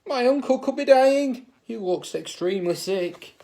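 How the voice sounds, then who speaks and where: Man voicing a high, spirited young princess. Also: expressive, measured pace, creaky, mellow, heavily acted. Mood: urgent. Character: young princess doctor, earnest, spirited and determined.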